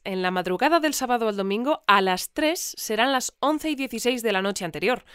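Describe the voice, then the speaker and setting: A woman playing a composed, formal newsreader, delivering a scripted community bulletin deadpan in a fiction podcast. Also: medium pitch, smooth, dry recording, clean studio sound, lightly acted. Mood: matter-of-fact. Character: composed female newsreader of an eerie research community.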